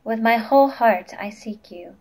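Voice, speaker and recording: A woman's voice: fairly high pitch, normal speed, darkish tone. A woman in her twenties reading scripture aloud as a lay reader, carefully and plainly.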